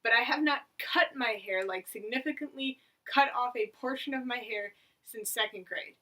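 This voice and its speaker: A woman's voice: fairly high pitch, moderate pace, bright, forceful tone, distant mic, low-quality recording. A young woman of about eighteen from the United States, talking casually to her camera as a vlogger in a chatty, rambling, self-mocking way. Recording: voice a little away from the mic.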